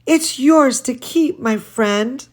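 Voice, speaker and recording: A woman reading a children's storybook, voicing an earnest, dramatic little girl in a drawn-out, emphatic way. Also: high-pitched. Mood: joyful. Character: dramatic, earnest little girl narrator who loves dancing. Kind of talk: children's storybook read-aloud.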